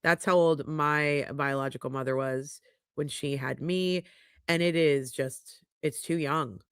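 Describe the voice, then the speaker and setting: A woman's voice: low voice, steady tone, measured pace, clear, resonant tone, dry recording, clean studio sound. A middle-aged woman hosting a livestream, congested and sniffly, chatting frankly as she reads viewer comments aloud. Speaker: podcast host reading live chat. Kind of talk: casual livestream chat. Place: home podcast studio. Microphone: studio microphone.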